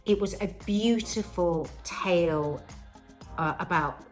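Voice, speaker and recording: A woman muses her way through a casual film chat, circling back on her thoughts. Her voice sits a little low, and faint music plays beneath her. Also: slow pace. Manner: reflective, wandering. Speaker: podcast co-host.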